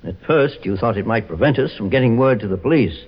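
A shrewd private detective from 1940s radio. He is authoritative and accusing, with animated intonation and a husky edge, in a reverberant old recording. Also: mid-range voice, muffled recording. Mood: triumphant. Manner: authoritative, deliberate explaining.

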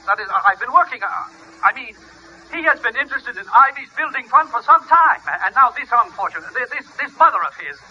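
A man's voice: very high-pitched, animated, brisk, sharp tone, husky, heavily acted. An actor playing a fussy, self-important board chairman in an old radio comedy, importunate and stammering. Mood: flustered, indignant. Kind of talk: 1940s-50s radio comedy acting. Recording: muffled, hissy old radio broadcast.

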